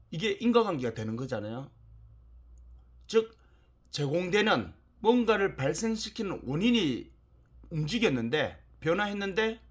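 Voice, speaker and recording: A man's voice: a little high, slightly rough. A man lecturing in an explanatory, emphatic way, repeating key points and asking rhetorical questions.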